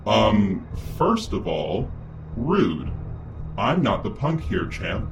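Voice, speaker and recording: A man playing a cocky masked vigilante in an audio drama, speaking in a deliberate, taunting way. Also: fairly low pitch, big pitch swings, vocal fry, lo-fi sound, soft background music, exaggerated voice. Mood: cool, taunting. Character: cocky masked young male vigilante hero, threatening.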